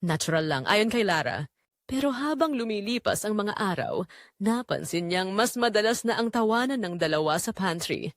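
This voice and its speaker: A woman with a Filipino accent narrating a scripted romance story in an expressive, measured way with dramatic pauses. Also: medium pitch, no echo, clean studio sound.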